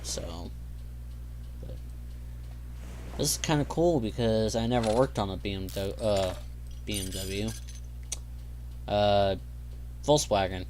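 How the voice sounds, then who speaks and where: Slow, low-voiced young man chatting. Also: a little mellow, slight background noise. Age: young adult. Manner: rambling, filler-heavy, easygoing. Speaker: gaming channel host. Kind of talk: casual gameplay commentary.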